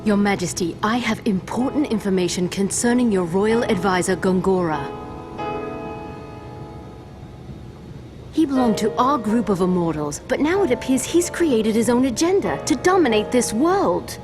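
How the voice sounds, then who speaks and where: A woman voicing a dignified, earnest queen in a fantasy game cutscene, formal, courteous and deliberate in her speech. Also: medium pitch, expressive, over music, natural voice. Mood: courteous, solemn. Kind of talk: scripted video game cutscene acting.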